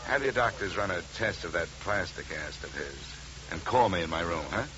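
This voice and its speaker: An actor playing a wry, weary, hard-boiled insurance investigator in a 1950 radio detective drama, dry and sardonic. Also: male voice, low voice, expressive, normal speed, noisy background. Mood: businesslike. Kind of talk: 1950 radio detective dialogue and first-person narration. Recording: dull, band-limited old radio recording.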